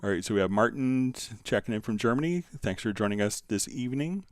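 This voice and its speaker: A man's voice: medium pitch, expressive, fast talker, dry recording, clean studio sound. A man hosting a casual live tutorial, answering viewers and explaining things step by step in a conversational way. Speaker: livestream host, software instructor.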